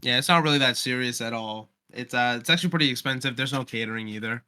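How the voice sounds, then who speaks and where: A man's voice: mid-range voice, quick pace, bright, forceful tone, clear, resonant tone. A man chatting casually as one of the co-hosts of a podcast. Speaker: podcast co-host. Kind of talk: casual chat.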